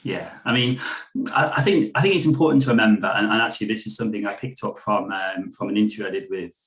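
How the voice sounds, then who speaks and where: A man's voice: on the low side, fast talker, fairly bright tone, rough recording. A young man, co-hosting a casual political discussion in an earnest, rambling way full of "you know". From Manchester, England. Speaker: podcast co-host.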